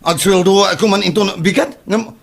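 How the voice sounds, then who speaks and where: A man's voice: sits high, a little fast, gravelly. A man playing a boastful, debt-ridden Ilocano man who whines and stammers in a comedy drama. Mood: flustered, panicky. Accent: Filipino, Ilocano. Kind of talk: comedy audio drama acting.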